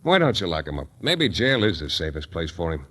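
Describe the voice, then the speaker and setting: An actor playing a tough, wry private detective in slangy hard-boiled 1940s radio style. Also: male voice, sits low, big pitch swings, a little fast, a bit bright, husky, exaggerated voice. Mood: wry, firm. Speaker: lead actor and narrator. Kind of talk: 1940s radio detective acting with first-person narration. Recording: 1940s radio recording, music behind.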